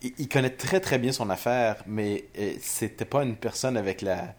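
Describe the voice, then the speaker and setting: A man, co-hosting a casual tech podcast. He speaks haltingly and often tags his phrases. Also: mid-range voice. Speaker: podcast co-host.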